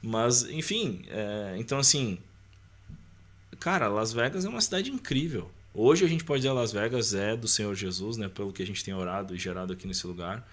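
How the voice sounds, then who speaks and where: A man's voice: fairly low pitch, expressive, normal speed, a bit bright. A man in his thirties with a Brazilian accent, telling personal stories casually as a podcast guest in a colloquial, slangy way. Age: 30s. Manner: colloquial, slangy, often seeking agreement with short tags.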